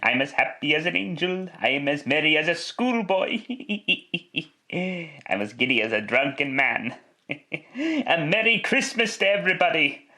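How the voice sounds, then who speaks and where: A man playing an overjoyed old miser turned merry in a classic radio drama, exclaiming and stammering with excitement. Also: on the high side, a little slow, exaggerated voice. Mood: giddy, elated.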